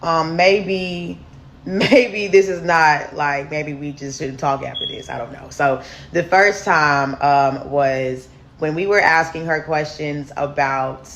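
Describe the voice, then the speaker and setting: A deep-voiced woman, candidly telling viewers a personal story in a casual storytime vlog. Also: slow, fairly bright tone, slight background noise. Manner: candid, chatty, addresses viewers as y'all. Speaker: storyteller host.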